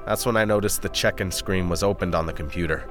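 A man plays a gritty, foul-mouthed convict telling his bleak story in an audio drama. His voice sits low and brooding. Music swells behind him with rain and thunder. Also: fast, lightly acted. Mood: grim. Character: bitter, lost convict recounting his grim story. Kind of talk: scripted first-person noir-style narration in an audio drama.

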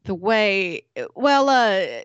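A woman's voice: mid-range voice, animated, extremely slow, clean tone, dry recording, highs cut off. A woman co-hosting a casual book-club podcast, chatty and laughing between remarks. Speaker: podcast co-host.

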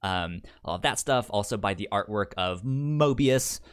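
Male film-podcast co-host, slightly deep voice, conversational, hesitant, with a clear repeating stutter. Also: lively intonation. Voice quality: pronounced stutter with repeated sounds and syllables. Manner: halting, hesitant delivery. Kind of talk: casual film discussion.

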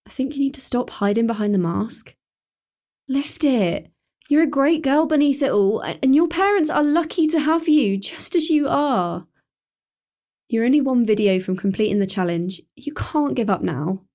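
A woman's voice: on the high side, animated, moderate pace, dark tone, lightly acted. An actress playing Emma, a caring, teasing teenage schoolgirl in a radio drama, speaking in an earnest, coaxing way. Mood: warm.

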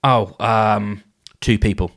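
A man's voice: fairly low pitch, fairly even intonation, takes it slowly, gravelly. A man hosting a solo advice podcast, thinking aloud in a conversational way and often restarting his sentences. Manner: conversational, thinks aloud, acts out inner dialogues; frequent restarts and filler phrases. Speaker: podcast host.

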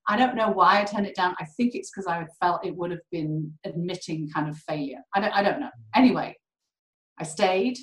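British woman, low voice, animated storyteller, roomy echo. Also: fairly quick. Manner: animated, laughs often. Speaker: core facility director. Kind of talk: candid conversational storytelling.

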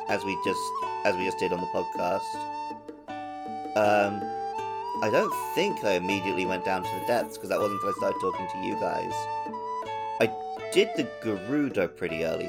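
A man speaks in a low voice with plenty of creak as he chats casually as a podcast co-host. Loud plucked-guitar music runs behind him. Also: huge pitch swings, normal speed. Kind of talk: casual gaming podcast chat. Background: prominent plucked-guitar music.

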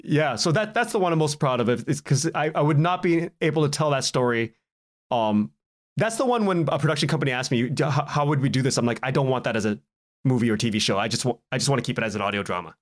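A man speaking casually as a podcast guest, in a halting, rambling way, often repeating words and cutting himself off. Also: medium pitch, animated. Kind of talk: casual interview conversation.

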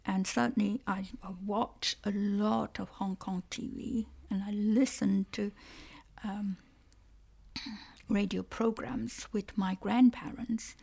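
Slow, gravelly woman, Cantonese-native speaker. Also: medium pitch, fairly even intonation, darkish tone. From Malaysia. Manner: thoughtful, halting, repeats words. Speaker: interviewer. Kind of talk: conversational interview.

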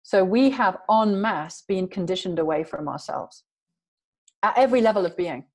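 A woman's voice: mid-range voice, lively intonation, normal speed, a little mellow. A woman guest in an interview, talking earnestly and emphatically in long flowing sentences. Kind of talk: passionate conversational interview.

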